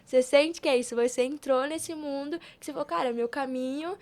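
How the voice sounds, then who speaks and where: Young woman, high-pitched, casual podcast guest, earnest, colloquial, motivational, repetitive for emphasis. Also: brisk, a little mellow. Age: young adult. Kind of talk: casual conversational talk.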